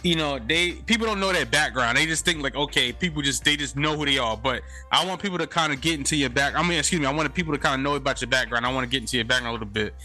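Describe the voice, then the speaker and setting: A man's voice: medium pitch, racing, few pauses, pushed and bright, faint music behind. A man from New York hosting a casual podcast interview, hyping his guest in loose street talk. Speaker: podcast host.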